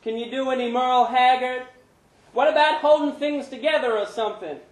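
Man doing a loud, high, cheeky street kid. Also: steady tone, brisk. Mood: cheeky, pestering. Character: cheeky street kid begging for a country song. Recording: echoey pub room, background noise.

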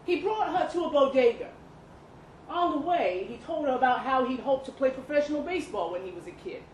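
High-voiced woman reading a story aloud. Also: expressive, fairly quick. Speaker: story reader at a live literary event. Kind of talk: dramatic literary short story reading. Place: indoor live reading event at a pub venue. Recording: roomy live venue, some background noise.

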